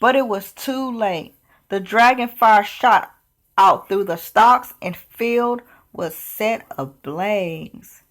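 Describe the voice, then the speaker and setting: A woman reading a children's picture book aloud as storyteller, with expressive, deliberate delivery. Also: medium pitch.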